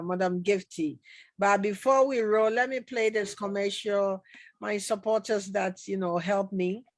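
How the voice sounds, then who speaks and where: A woman hosting an online talk show, chatty and welcoming, with many fillers and restarts. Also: medium pitch. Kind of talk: casual interview hosting.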